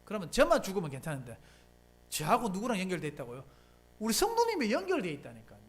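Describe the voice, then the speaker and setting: Animated pastor preaching. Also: mid-range voice, fast talker, husky. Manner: emphatic rhetorical questions, conversational preaching. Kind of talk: sermon. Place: church sanctuary.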